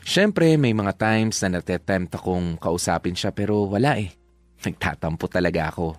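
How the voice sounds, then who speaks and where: A man's voice: low-pitched, animated, normal speed, dry recording, soft background music. A man with a Filipino accent acting a jealous, sulking young man narrating his own story in a drama. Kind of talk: first-person drama narration.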